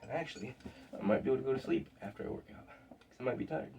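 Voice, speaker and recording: A young man with a sick, scratchy voice and a sore throat, talking casually through his workout in a rambling, relaxed way with fillers. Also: medium pitch, fast, vocal fry. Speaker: fitness vlogger. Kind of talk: casual workout vlog talk. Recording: distant mic with faint background noise.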